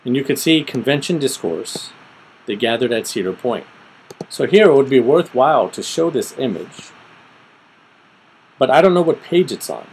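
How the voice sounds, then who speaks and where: A middle-aged man giving an informal, step-by-step screen tutorial, thinking aloud methodically with pauses. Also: medium pitch, expressive, darkish tone, breathy edge, poor audio. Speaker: tutorial presenter. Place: small room at home, indoors.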